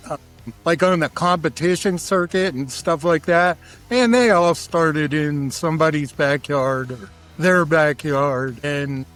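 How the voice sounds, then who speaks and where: Plain-spoken man with a higher-pitched voice. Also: a bit bright. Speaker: interview guest, barbecue cook. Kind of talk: casual conversational explanation.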